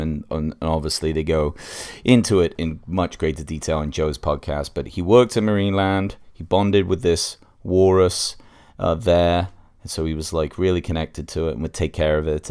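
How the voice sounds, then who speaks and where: A man's voice: low-pitched, steady tone, moderate pace, a little mellow, clean tone. A man hosting a casual solo podcast review, congested with a cold and speaking in an informal, hesitant way.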